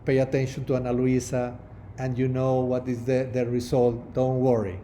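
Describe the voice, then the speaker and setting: Middle-aged Spanish-speaking prosthodontist lecturing online; mellow, warm tone, stop-start delivery. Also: male voice, mid-range voice, monotone, slight background noise. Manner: halting non-native English with many restarts. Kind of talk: webinar lecture over slides.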